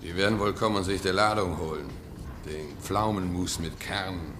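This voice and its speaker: A man's voice: low-pitched, normal speed, lightly acted. A man playing a stolid, fatalistic ship stoker in a German radio play, laconic and colloquial with dry irony. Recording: faint background noise on radio recording.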